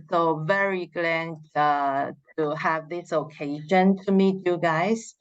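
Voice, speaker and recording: A woman's voice: low voice, no echo. A woman organizing a training session, leading introductions on a video call with hesitant fillers. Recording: muffled video call audio.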